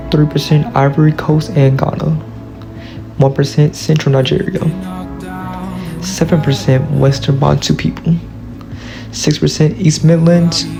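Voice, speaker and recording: A man's voice: on the high side, vocal fry, poor audio. A man, steadily reading a prepared class presentation. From Louisiana, US. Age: early 20s. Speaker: student presenter.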